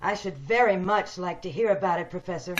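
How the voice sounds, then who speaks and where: A woman's voice: lowish voice, fairly quick, lightly acted. A woman playing a homely, probing woman who yearns for beauty, questioning insistently in old-time radio drama style, over dull video-call audio. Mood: probing, polite.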